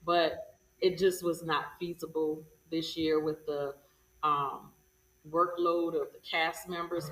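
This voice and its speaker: A woman giving conversational interview answers as a guest, measured and hopeful in the way she talks. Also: low voice, fairly bright tone. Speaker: stage play creator and interview guest. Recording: echoey room, distant microphone.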